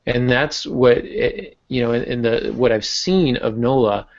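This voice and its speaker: A man's voice: medium pitch, expressive, a little slow, creaky, lo-fi sound. A man talking as a podcast host and writing teacher, explaining informally, stressing key words and posing rhetorical questions. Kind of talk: conversational teaching about writing.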